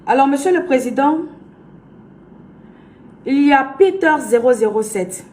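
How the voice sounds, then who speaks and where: A woman's voice: high voice, lively intonation, a little slow, a little mellow, a little hiss or hum. A young woman from Côte d'Ivoire with an Ivorian French accent, a blogger and influencer speaking earnestly and emphatically to her followers, repeating herself for weight. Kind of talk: direct-to-camera address to followers.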